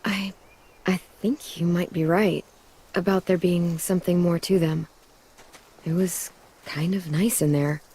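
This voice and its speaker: A woman playing a tough, protective teenage girl in a dramatic video game, plain-spoken, steady and earnest. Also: fairly low pitch, a little slow, long pauses, husky. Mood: thoughtful. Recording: music under the voice.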